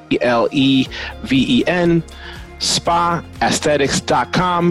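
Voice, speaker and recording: A man reading a scripted advertisement as the show's host. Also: on the high side. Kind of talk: scripted ad read.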